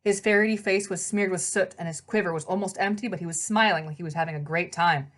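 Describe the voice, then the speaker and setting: A woman's voice: fairly low pitch, fast talker, fairly bright tone. A woman reading a children's novel aloud as narrator, in scripted storybook narration.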